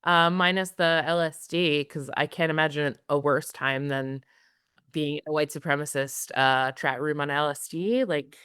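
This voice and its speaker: A woman in her thirties, a journalist guest talking fast and wryly with lots of fillers in a casual interview. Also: low voice, fairly even intonation, fairly bright tone, smooth, no echo, studio quality. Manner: fast, filler-heavy, wry.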